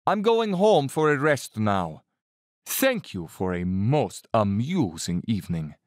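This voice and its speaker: A man's voice: fairly low pitch, big pitch swings, slow, no room sound, studio quality. A man acting a courteous, taunting Cossack general and big-game hunter in an audio drama. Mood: gloating, amused.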